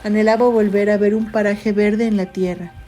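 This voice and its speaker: A woman's voice: medium pitch, fairly even intonation, normal speed. A woman reading a scripted literary narration for an audio drama. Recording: music bed under voice. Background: background music.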